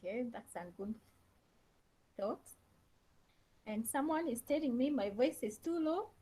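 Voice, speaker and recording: Slow, lively woman lecturer. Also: high-pitched, husky. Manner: slow, repeats key phrases and questions to students. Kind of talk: online class lecture. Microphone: laptop on a video call.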